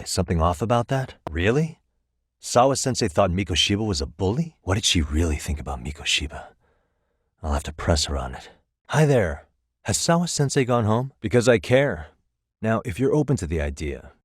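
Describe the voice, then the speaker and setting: A man's voice: low voice, slightly rough, no echo, clean studio sound. A man voicing a wry, laid-back yet firm private detective in casual, conversational English game dialogue. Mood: firm, confrontational. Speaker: video game voice actor.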